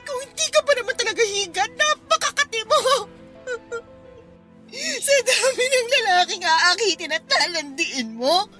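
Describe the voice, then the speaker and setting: A woman's voice: extremely high pitch, lively intonation, moderate pace. A woman playing a betrayed, fierce live-in girlfriend in a Filipino radio drama, scolding and accusing with raw emotion. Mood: furious, hurt. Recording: music bed behind the voice.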